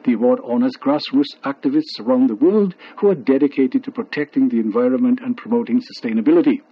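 A man's voice: medium pitch, breathy edge. A man reading scripted news reports as a radio newsreader. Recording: dull lo-fi shortwave radio broadcast.

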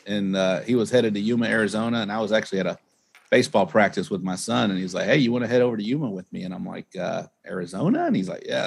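A man's voice: fairly low pitch, gravelly, slightly rough. A man talking as a podcast guest in a casual, rambling, informal way, telling anecdotes as he goes. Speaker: podcast guest, competition barbecue pitmaster. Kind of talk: casual conversational interview.

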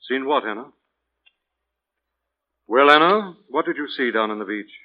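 An actor playing a composed, authoritative gentleman investigator, reassuring and inquisitive, in 1940s radio style. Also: medium pitch, expressive, normal speed, lightly acted. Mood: firm, reassuring. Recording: dull lo-fi 1940s radio recording.

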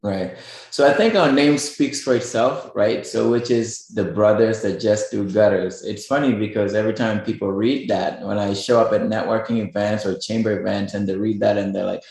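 A man's voice: on the low side, steady tone, moderate pace, darkish tone, very echoey, rough recording. A middle-aged man from Kenya with a Kenyan accent, a guest in a radio interview, talking casually with many fillers and restarts. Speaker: guest business owner.

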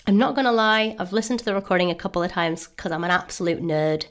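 A woman's voice: on the low side, fairly even intonation, fast talker. A woman from the United States with a mixed American and Irish-sounding accent, hosting a podcast interview with an enthusiastic, bubbly manner. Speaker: podcast host.

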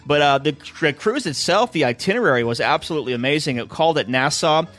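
A man's voice: a little high, animated, fast talker, fairly bright tone. A man hosting a cruise talk radio show, chatty and upbeat with frequent fillers, interviewing a guest.

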